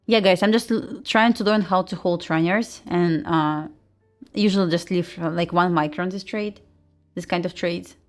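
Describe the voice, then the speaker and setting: A woman's voice: lowish voice, moderate pace, faint music behind. A woman with a Russian accent hosting a casual trading livestream, chatting informally and commentating in slightly non-native English. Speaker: host, futures day trader.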